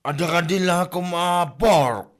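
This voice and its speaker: Male actor as hapless village husband, slow pace, hesitant, Ilocano accent, comedy drama. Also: high voice. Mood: flustered, smitten.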